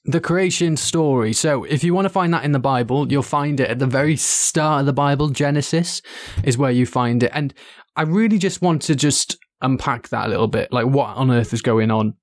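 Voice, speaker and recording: A man's voice: medium pitch, normal speed, hardly any pauses, no echo, studio quality. A man from Wales with a Welsh accent, co-hosting a podcast and earnestly explaining a Bible passage in a casual chat. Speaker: podcast co-host.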